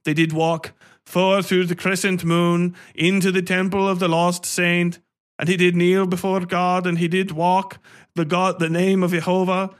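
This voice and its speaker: A grand, solemn medieval chronicler, put on by a male podcaster recites mock scripture. The male voice sits a bit high and declaims in pompous, archaic phrasing. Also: flat delivery, unhurried. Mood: solemn, grandiose.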